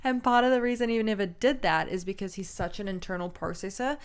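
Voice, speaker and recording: Loud-voiced young woman giving marriage advice. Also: quick pace, clear, resonant tone. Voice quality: low, loud voice. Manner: animated storytelling, acts out voices and quotes with laughs. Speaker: co-host of a marriage advice channel. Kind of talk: casual advice chat.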